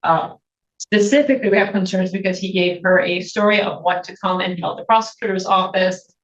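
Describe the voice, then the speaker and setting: An American woman speaking as a prosecutor in court, making a formal, halting argument to a judge. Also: on the low side. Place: indoor courtroom. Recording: muffled, echoey courtroom audio.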